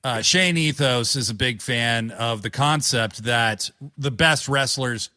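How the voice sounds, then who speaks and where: Bright-voiced man in his forties, blunt. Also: medium pitch, slow. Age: 40s. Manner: blunt, profane, wry. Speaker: podcast co-host. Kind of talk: casual chat.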